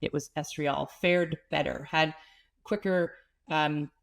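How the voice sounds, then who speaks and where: A woman with a cold and a slightly stuffy, nasal voice, a pelvic health expert calmly explaining things as an interview guest. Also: sits low, slow, vocal fry. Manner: earnest, explanatory, some restarts. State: has a cold, sniffling.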